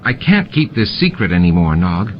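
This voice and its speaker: A man's voice: low voice, big pitch swings, normal speed. A man voicing an earnest, cautious teenage boy in an audiobook, with a worried manner. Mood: earnest worry. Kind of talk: audiobook character voice. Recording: muffled, with clear background noise.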